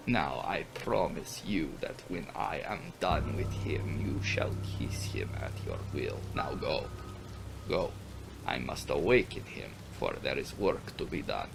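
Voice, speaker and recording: A low, breathy voice of an imperious vampire count, acted by a man reading a gothic audiobook. He commands slowly and possessively, with menace held close to a whisper and music behind him. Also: full character voice, rough recording. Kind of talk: dramatic audiobook character reading.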